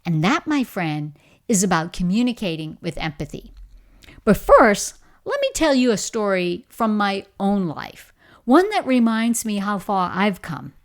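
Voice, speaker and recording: Lively Bahamian woman, encouraging speaker. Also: mid-range voice, a little slow. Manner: warm, encouraging, emphatic storytelling. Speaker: leadership coach. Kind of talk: motivational talk to camera.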